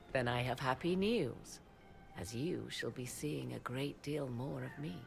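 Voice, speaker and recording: A woman's voice: a bass voice, slow, creaky, darkish tone, faint music behind, full character voice. A woman voicing an aloof, sardonic apostate sorceress in a fantasy video game, with arch, theatrical, archaic phrasing. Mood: smug.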